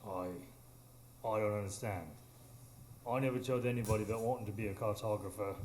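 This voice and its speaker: A man's voice: lowish voice, steady tone, a little fast, a little mellow, natural voice. Man playing a stern police inspector, echoey stage. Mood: wary, softening. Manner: clipped, demanding. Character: stern, officious male police inspector with hidden insecurity. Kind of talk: live radio drama acting. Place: small improv theatre stage. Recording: echoey, noisy live stage recording.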